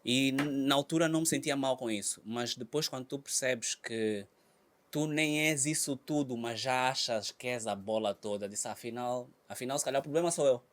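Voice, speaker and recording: Animated Angolan man, chatty and repetitive. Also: moderate pace, a little hiss or hum. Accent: Angolan Portuguese. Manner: animated, repeats words, bursts high and loud when telling stories. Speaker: comedian guest. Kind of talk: casual podcast interview.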